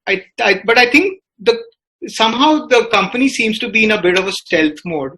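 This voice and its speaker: Bright-voiced Indian man, halting analytical delivery. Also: sits high, animated. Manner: hesitant, halting, frequent filler words. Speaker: business reporter. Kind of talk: podcast discussion, analytical commentary.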